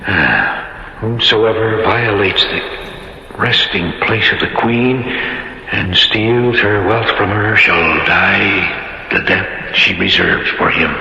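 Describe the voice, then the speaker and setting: An actor playing a nervous, haunted doctor on an expedition, intense and theatrical, in an old radio drama. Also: male voice, lowish voice, slow, gravelly. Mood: ominous, solemn. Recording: echoey, muffled, lightly noisy old radio recording.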